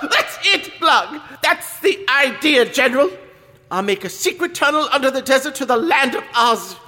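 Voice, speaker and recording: A man's voice: very high-pitched, huge pitch swings, unhurried, pushed and bright, heavily acted. A man playing a vain, tantrum-throwing gnome king in an audio drama, blustering, whiny and imperious. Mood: gleeful. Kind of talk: full-cast audio drama acting. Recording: long reverberant hall echo.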